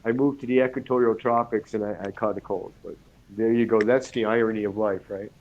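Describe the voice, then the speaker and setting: Man with a cold, halting speech. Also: on the low side, steady tone, narrow, tinny audio. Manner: halting, many fillers and restarts. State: has a cold. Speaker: guest. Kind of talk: casual online radio talk.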